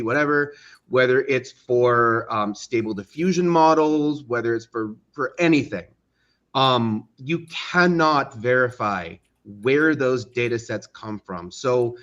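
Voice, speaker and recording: A man explaining things as an interview guest in a measured way, pausing to choose his words. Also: medium pitch, fairly bright tone. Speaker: audio drama creator, podcast guest. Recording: dull, muffled online call audio.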